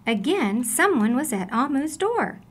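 A woman reading a children's story aloud in an expressive, deliberate storytelling manner. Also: high voice. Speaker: storybook reader.

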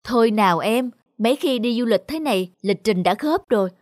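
A woman's voice: a little high, lively intonation, brisk, a little mellow, no room sound, clean studio sound, close to an everyday voice. A woman voicing a brusque, schedule-obsessed husband on holiday in a cartoon dub, with music underneath. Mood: insistent. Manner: brusque, insistent. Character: impatient, schedule-obsessed adult husband, bossy tourist.

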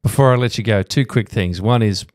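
A man's voice: on the low side, fairly quick, a little mellow, no room sound. A middle-aged man hosting a studio podcast interview, asking long, meandering questions full of fillers. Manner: rambling questions, many fillers. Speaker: host. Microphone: studio mic on boom arm.

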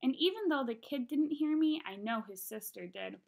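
A woman's voice: sits high, expressive, moderate pace, gravelly. A young adult woman giving a storybook read-aloud, recorded in a room at home on a webcam at arm's length. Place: small home room, indoors.

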